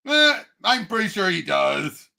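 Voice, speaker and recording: A man with a high, creaky voice chats casually as co-host of a film show. He sounds bright and sharp, and states his opinions with emphasis. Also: big pitch swings, measured pace. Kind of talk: casual chat.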